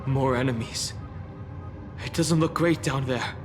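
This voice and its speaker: An alert young male adventurer, voiced by a man, with an average-pitched voice. He makes short, plain remarks as a companion in a fantasy game, over prominent music. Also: measured pace, long reverb tail, natural voice. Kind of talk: English video game voice acting.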